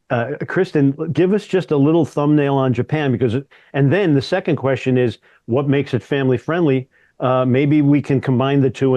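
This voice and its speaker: A man's voice: mid-range voice, mellow, no room sound. A man moderating an online panel, hesitant and full of fillers. His voice sounds dry and close to the mic.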